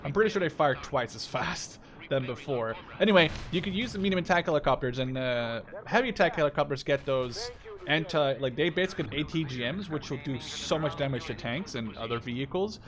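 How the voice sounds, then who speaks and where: A man's voice: fairly high pitch, animated, fairly quick. A man in his twenties giving casual gameplay commentary, informal and slangy with some swearing. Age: 20s. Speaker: gaming video host. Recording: loud game battle sounds behind voice. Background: artillery, gunfire and vehicles from the game.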